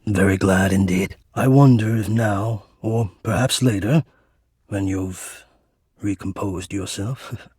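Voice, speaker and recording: A man voicing an anxious, melodramatic, yearning male game character who hides away, with florid, halting, theatrical speech. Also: fairly low pitch, darkish tone, breathy edge, dry recording, clean studio sound. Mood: tender, hopeful. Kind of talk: video game character acting.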